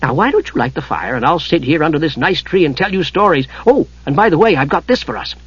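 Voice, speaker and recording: Casting: small, carefree fantasy chatterbox, played by a man, high and lively, rambling innocently through a storybook tale. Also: fast talker, breathy edge, no room sound, muffled recording. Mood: cheerfully confident. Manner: rambling, chattering, blithely unbothered. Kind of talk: audiobook character voice.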